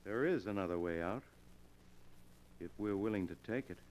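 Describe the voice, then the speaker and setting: An actor playing a smooth, fatalistic criminal lover, theatrical and persuasive, in 1940s radio drama style on an old recording. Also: male voice, on the low side, moderate pace, darkish tone, lightly acted. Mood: coolly persuasive. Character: smooth, fatalistic criminal lover urging his partner to suicide. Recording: noisy old golden age radio recording.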